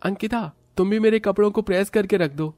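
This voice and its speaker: A man playing a matter-of-fact married son in a cartoon family story, speaking in a plain, low-key way. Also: fairly quick, warm tone, soft background music, natural voice. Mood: instructing. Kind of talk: cartoon moral story acting.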